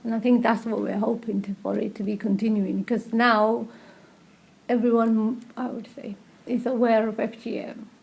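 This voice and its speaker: A woman's voice: a little high, flat delivery, normal speed, gravelly, darkish tone, low-quality recording. A woman who works as a community health practitioner, talking conversationally in an interview.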